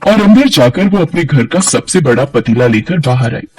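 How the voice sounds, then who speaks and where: A man's voice: mid-range voice, animated, normal speed, a little mellow, husky, soft background music. A man narrating a scripted cartoon folk tale as a storyteller.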